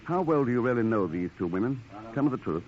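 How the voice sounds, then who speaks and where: An actor playing a suave, persistent investigator with clipped, probing questions in a 1940s radio drama. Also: male voice, medium pitch, expressive, fast, natural voice. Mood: suspicious. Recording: muffled noisy old radio recording.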